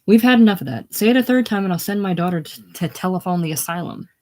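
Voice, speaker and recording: A reader voicing a gruff old farmer who mocks and jeers loudly. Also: medium pitch, expressive, normal speed. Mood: threatening. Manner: loud, teasing, contemptuous. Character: gruff old Irish farmer, mocking and jeering.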